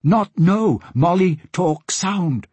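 A man voicing an earnest porpoise who speaks slow, halting broken English in a storybook reading. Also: sits high, expressive, a little mellow, husky, dry recording, lo-fi sound, pitched above the performer's own voice, heavily acted.

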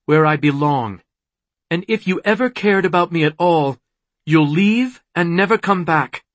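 A man's voice: on the high side, animated, unhurried, slightly rough, no room sound, voice pushed up. A man voicing a brave, emotional young woman, a daughter in an audiobook. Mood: resolute.

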